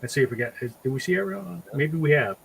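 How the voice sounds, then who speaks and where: A man hosting a relaxed, casual group chat about pop culture. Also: a little high, fast, vocal fry, slight background noise, low-quality recording. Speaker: host of a drink and draw show.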